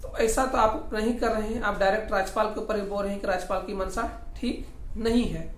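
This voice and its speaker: A man's voice: high voice, fairly even intonation, brisk. A man gives informal political news commentary, explaining in a rambling, repetitive way. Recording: roomy echo, faint background noise.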